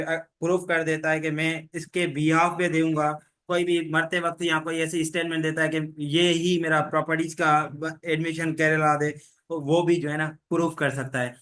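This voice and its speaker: Male tutor with a fairly high voice, steady and even delivery, explaining legal points to a class. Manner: explains in Hindi mixed with English legal terms, reads rules slowly. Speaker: teacher. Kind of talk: online law lecture.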